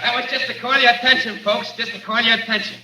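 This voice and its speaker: A man's voice: very high voice, little pitch movement, fast talker, sharp tone, exaggerated voice. An actor playing a brash, menacing bank robber with slangy tough-guy talk in a 1940s radio drama. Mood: commanding. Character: brash, menacing machine-gun bank robber, gang leader. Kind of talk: 1940s radio gangster acting. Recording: echoey 1940s radio recording.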